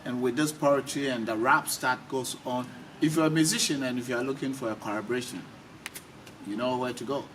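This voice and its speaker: A man's voice: medium pitch, steady tone, fairly quick, fairly bright tone. A man with a mid-range voice hosts a casual interview. He restates short phrases to drive them home. The recording is noisy, and he sounds slightly distant from the microphone. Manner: repeats phrases for emphasis. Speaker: interviewer, channel host.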